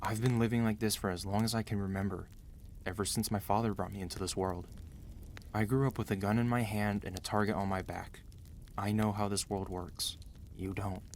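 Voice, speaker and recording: A man playing a protective, gentle but commanding mafia boss husband in an intimate, earnest ASMR romance roleplay, speaking tenderly and steadily to the listener. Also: lowish voice, steady tone, a little fast, a little hiss or hum, natural voice. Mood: firm, pleading.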